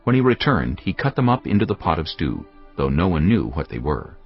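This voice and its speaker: A man's voice: low voice, big pitch swings, a little fast. A man narrating scripture as a solemn, scripted dramatic story. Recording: muffled audio with music behind.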